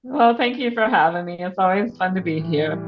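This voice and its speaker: Woman, slightly low voice, podcast author guest chatting informally and thinking aloud; slightly dull, band-limited sound. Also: fairly quick, faint music behind. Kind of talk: casual interview chat. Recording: muffled, band-limited audio.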